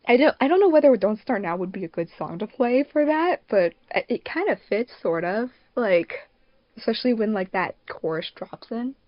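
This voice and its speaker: A woman hosting a casual podcast, talking in an animated, rambling, slangy way. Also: on the high side, moderate pace, dry recording. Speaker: podcast host and fan dub producer. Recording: dull, dry lo-fi mic.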